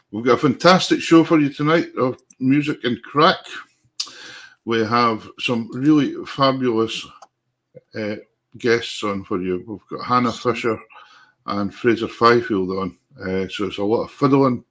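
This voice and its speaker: A Scottish man presents a live online music show in a mid-pitched voice. He talks casually, chatty and folksy, as if addressing listeners directly. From Scotland.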